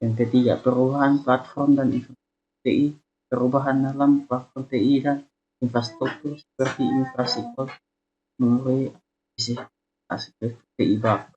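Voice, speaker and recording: A young man reading a student slide presentation in a halting way. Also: medium pitch, steady tone. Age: young adult. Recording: dull, lo-fi sound.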